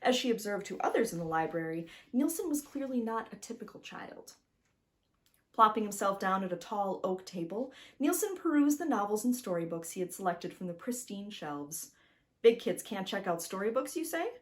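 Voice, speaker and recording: A woman narrating a children's storybook as its author, in an expressive, emphatic way that speaks directly to the listener. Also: mid-range voice, moderate pace.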